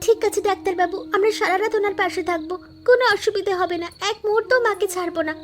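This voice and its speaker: Woman voicing very high-pitched, quick young daughter-in-law. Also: steady tone. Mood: urgent, caring. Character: young village daughter-in-law, dutiful, caring and resolute. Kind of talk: Bengali animated family story dialogue.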